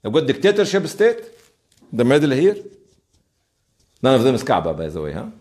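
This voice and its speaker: Animated man lecturing a class. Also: medium pitch, normal speed. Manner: emphatic storytelling with rhetorical questions. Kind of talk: informal interactive classroom lecture.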